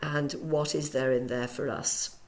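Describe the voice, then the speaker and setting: A woman with a low, croaky voice, hoarse after a cold. She teaches a workshop over a video call, chatting along with plenty of ums. She sounds middle-aged. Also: fairly even intonation, unhurried. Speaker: Alexander Technique teacher.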